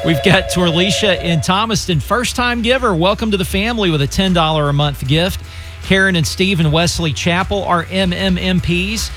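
A man's voice: high-pitched, normal speed, over music. Earnest man making a radio appeal. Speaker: radio host. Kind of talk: fundraising appeal.

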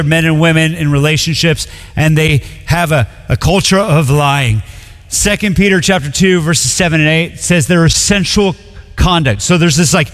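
A young man from Colombia preaching a sermon in a relaxed, conversational way, full of "like" and quick restarts. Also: a little high. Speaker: pastor. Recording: long reverb of a large hall.

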